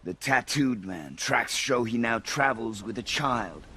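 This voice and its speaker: Menacing hunter god, game villain, husky, tense sharp tone, terse, coldly threatening. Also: male voice, mid-range voice, lively intonation, unhurried, no room sound, exaggerated voice. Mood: cold, demanding.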